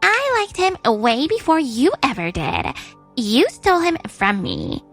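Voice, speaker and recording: A woman's voice: high-pitched, huge pitch swings, unhurried, a bit bright, faint music behind, exaggerated voice. A woman voicing a smug, spiteful young mistress in a dubbed manga drama. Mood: spiteful, accusing.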